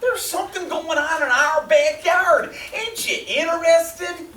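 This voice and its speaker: A woman playing a brash, excitable working-class radio listener in a stage radio drama, blunt and folksy. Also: extremely high pitch, a little slow, exaggerated voice. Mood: irritated, blunt. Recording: distant, echoey stage recording, faint noise.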